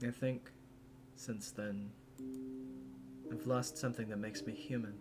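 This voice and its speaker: Solo performer as a young woman, visual novel, deadpan, flippant, guarded, music clearly behind. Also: mid-range voice, a little slow, lightly acted. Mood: guarded, flat.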